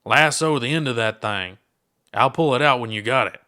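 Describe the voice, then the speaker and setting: A man's voice: mid-range voice, normal speed, sharp tone, close to an everyday voice. A reckless little space adventurer from a storybook, acted out by a male reader. The voice sits mid-range, with a folksy, casual drawl and a plucky temper. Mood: focused.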